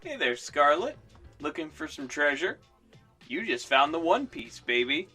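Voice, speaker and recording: Man as a bright, goofy cartoon guy. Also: a little high, very animated, measured pace, breathy edge, soft background music, exaggerated voice. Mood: flirtatious. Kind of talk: cartoon comic dub.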